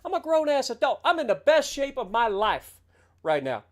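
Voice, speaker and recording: A man's voice: very high voice, sing-song, normal speed. A man in his late thirties, a game streamer, talking through a heated, sarcastic, heavily profane rant on his livestream. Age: 30s.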